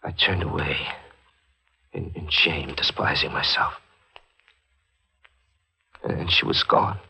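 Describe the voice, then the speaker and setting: Tormented reporter guy, old time radio, husky and forceful, stammers through his confession. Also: high-pitched, heavily acted, low-quality recording. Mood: anguished. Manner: halting, anguished, stammering confession. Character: guilt-ridden, haunted newspaper reporter confessing under truth serum. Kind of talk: 1940s radio mystery acting.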